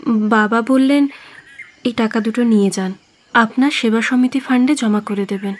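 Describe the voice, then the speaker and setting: A woman's voice: on the high side, moderate pace, close to an everyday voice. A woman playing a shy, polite young daughter with a reserved manner in a Bengali audio drama.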